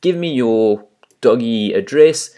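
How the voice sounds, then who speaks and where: A man's voice: mid-range voice, animated, slow pace, dark tone. A man with a South African accent, chatting casually and a little self-deprecatingly as he walks through a screen recording. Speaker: channel host.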